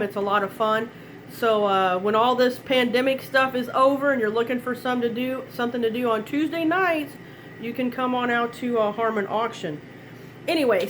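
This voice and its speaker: A middle-aged woman sells from her kitchen in a live online demo, her fairly high voice a touch stuffy from a head cold. She talks in loose, rambling asides and chuckles often. A faint hiss sits under the recording. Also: fairly bright tone, low-quality recording. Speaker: direct-sales consultant.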